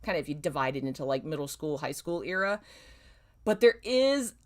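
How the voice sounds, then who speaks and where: A woman's voice: deep voice, sing-song, a little fast, darkish tone. A woman hosting a casual livestream, talking in a self-deprecating, dramatically exasperated way. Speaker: livestream host. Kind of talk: casual livestream chat, reading own old fan fiction.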